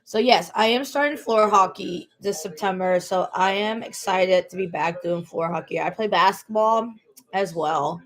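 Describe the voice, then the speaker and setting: A woman in her late twenties talks casually to the camera in a personal vlog. She rambles and circles back, repeats the same points and restarts her sentences. Her pitch sits in the middle range. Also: moderate pace, rough recording. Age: 20s. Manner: rambling, repeats herself, many fillers and restarts. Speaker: vlogger.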